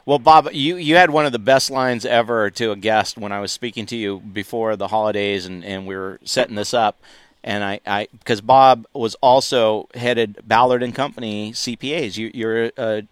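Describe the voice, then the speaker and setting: Rambling talk radio host, dry studio sound. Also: male voice, on the low side, steady tone, moderate pace, smooth, no echo. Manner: enthusiastic, rambling with many restarts.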